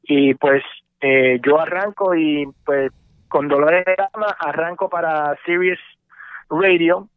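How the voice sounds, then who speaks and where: Casting: radio man, somewhat high voice, laid-back storyteller, heard over a dry, tinny phone line. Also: slow, smooth, no room sound. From born in New York, raised between Puerto Rico and the US. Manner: relaxed, many fillers, mixes English words. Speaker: radio host guest. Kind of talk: casual interview, recounting career.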